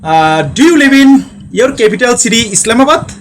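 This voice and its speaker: A man from Bangladesh, chatting on a live stream in halting English and asking each question several times over. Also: extremely high pitch, big pitch swings, a little hiss or hum. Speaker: live-stream chat participant. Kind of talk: casual live-stream chat.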